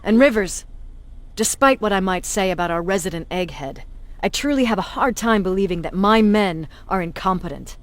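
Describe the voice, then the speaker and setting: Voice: medium pitch, animated, normal speed, close to an everyday voice. Stern, shrewd military commander acted. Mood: grave, confiding. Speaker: game character voice actor. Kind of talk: video game dramatic dialogue.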